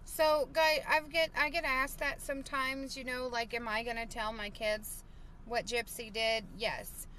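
A woman's voice: fairly high pitch, sharp tone, a little hiss or hum. A woman in her thirties chatting casually on a live stream from a parked car, reading viewer comments with lots of fillers, recorded on a phone at arm's length. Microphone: phone held at arm's length.

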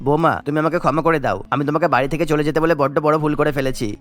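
A man's voice: a little high, fairly even intonation, very fast, fairly bright tone, close to an everyday voice. A man playing a remorseful older father-in-law in a cartoon story. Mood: frightened, apologetic.

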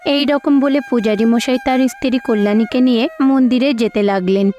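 A woman's voice: a little high, fairly even intonation, normal speed, warm tone. A woman reads scripted narration for a cartoon fairy tale. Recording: slightly dull audio with music behind.

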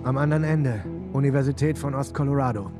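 Game dub role, male actor: steady younger-brother type, friendly, mid-range voice, prominent music behind. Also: medium pitch, fast talker, lightly acted. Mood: calm. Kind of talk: dubbed video game acting.

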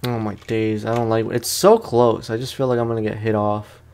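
A man's voice: fairly low pitch, flat delivery, normal speed, darkish tone, clean tone. A man giving casual let's play commentary, talking to himself and his opponent in slangy speech. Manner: slangy, talks to himself and to the game enemy. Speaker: gaming host, let's play streamer.

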